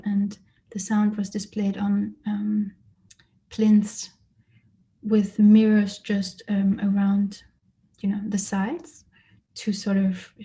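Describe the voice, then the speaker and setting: Soft-spoken woman artist, flat delivery. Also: medium pitch, vocal fry, low-quality recording. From Germany. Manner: hesitant, many fillers and restarts, thoughtful. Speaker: artist giving a lecture. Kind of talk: lecture presentation. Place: lecture or online presentation.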